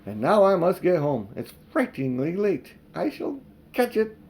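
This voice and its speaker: A man voicing a brisk, firm young girl in a children's storybook, cool and indignant. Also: sits high, huge pitch swings, darkish tone, voice pushed up, full character voice. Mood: relieved. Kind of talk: children's storybook read aloud.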